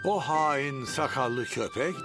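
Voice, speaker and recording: A man's voice: mid-range voice, slow pace, over loud music, close to an everyday voice. A man voicing a grumbly old grandpa pig in a children's cartoon, with a theatrical, blustery manner. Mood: wary.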